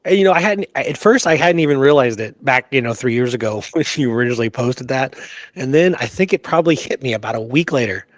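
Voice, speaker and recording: A man's voice: medium pitch, lively intonation, fast, no echo, clean studio sound. A man co-hosting a casual history discussion, talking emphatically and often checking in with "right?". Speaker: podcast co-host.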